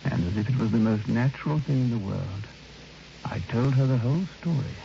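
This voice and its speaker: An actor playing a troubled, reluctant lodger who tells his ghost story in a measured, sometimes hesitant way, in old radio drama style. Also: mid-range voice, vocal fry, mellow, close to an everyday voice. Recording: muffled, noisy old radio recording.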